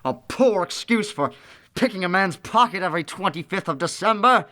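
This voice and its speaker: A grumpy old miser in a radio drama, played by an actor, with a bright, biting voice. He is curt and dismissive, grumbling with cold scorn at everyone around him. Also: medium pitch, expressive, moderate pace. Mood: grumpy, indignant.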